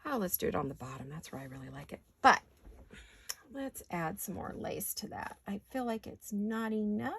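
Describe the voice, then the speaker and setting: Raspy-voiced woman chatting while crafting. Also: mid-range voice, animated, normal speed, a bit bright, a little hiss or hum. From California. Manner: chatty, talks to herself while crafting, drops into whispers. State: raspy voice from allergies. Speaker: craft vlogger. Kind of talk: casual craft chat while working. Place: home craft studio.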